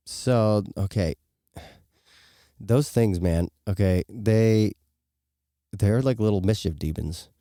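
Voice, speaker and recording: A man's voice: fairly low pitch, slow, long pauses, dark tone, dry recording, clean studio sound. A middle-aged man co-hosting a podcast with casual, joking, colloquial reactions. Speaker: podcast co-host. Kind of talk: casual banter.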